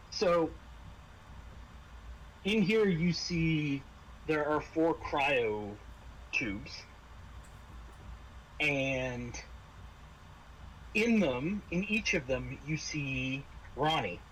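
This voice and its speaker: A man narrating a tabletop roleplaying game as game master, halting and pausing mid-phrase. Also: a little high, expressive, a bit bright, low-quality recording. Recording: noticeable background noise.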